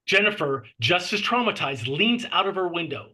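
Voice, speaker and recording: A man with a very bright, sharp voice, pitched a little high, narrates a screenplay. He reads stage directions and scene headings in scripted style. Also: big pitch swings, moderate pace. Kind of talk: scripted narration at a table read.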